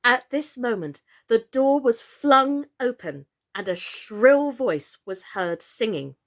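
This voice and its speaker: A woman who is a professional actress reading a children's classic aloud, with a theatrical, expressive delivery. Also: fairly high pitch, slow pace. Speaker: storyteller.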